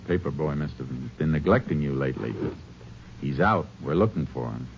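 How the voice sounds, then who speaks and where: A very deep-voiced American Texas Ranger, steady and shrewd. He talks tersely, with dry authority, in 1950s radio drama style. The old recording is muffled and clearly noisy. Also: fairly quick, heavily acted. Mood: dry, pressing. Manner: terse, authoritative, dryly sardonic.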